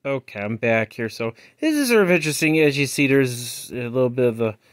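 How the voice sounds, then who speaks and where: A man giving a casual, unscripted product walkthrough in a halting, rambling way, often trailing off, recorded indoors on a handheld phone. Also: medium pitch, very animated, dry recording, studio quality. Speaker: collector showing a yard-sale cassette player. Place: indoors, close over a tabletop. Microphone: handheld phone he is filming with.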